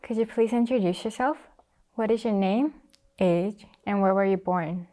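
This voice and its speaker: A teenage girl interviews a friend, reading prepared questions politely and at an even pace. Her voice is warm and mellow, at a middle pitch for a woman. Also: normal speed. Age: teens. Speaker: student interviewer.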